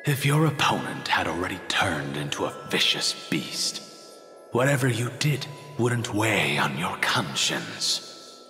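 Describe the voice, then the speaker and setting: A man voicing a resigned, solemn dragon spirit of the past in a video game, speaking in a slow, wistful, theatrical way. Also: deep voice, huge pitch swings, sharp tone, exaggerated voice. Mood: probing. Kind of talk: dramatic video game dialogue. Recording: very echoey, long reverb, music behind.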